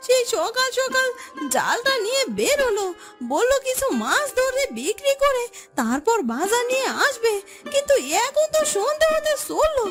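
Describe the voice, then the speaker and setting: A woman voicing a worried, plaintive poor village wife and mother in a cartoon story. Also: extremely high pitch. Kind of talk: Bengali moral story cartoon dubbing.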